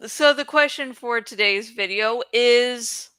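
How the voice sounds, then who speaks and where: Bright, clear-voiced woman chatting casually. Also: a little high, takes it slowly, dry recording. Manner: informal, giggly, swears freely. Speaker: host of a book read-along channel. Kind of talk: casual rambling chat about a book.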